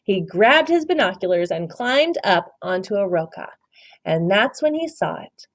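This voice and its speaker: A woman narrates a children's picture book as a read-aloud. Her voice sits at a medium pitch and moves up and down with very animated, expressive intonation. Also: measured pace, no echo.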